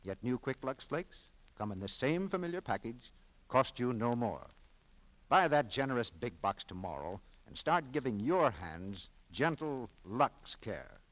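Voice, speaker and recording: A man's voice: mid-range voice, big pitch swings, unhurried, a bit bright, rough recording. An American man working as a radio announcer, reading scripted copy in 1940s broadcast style.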